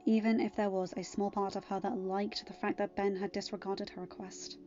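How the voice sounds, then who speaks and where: A woman's voice: medium pitch, flat delivery, fairly quick, mellow. A woman narrating a story from a script, as in an audiobook. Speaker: podfic narrator. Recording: dull lo-fi home recording, music underneath. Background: music.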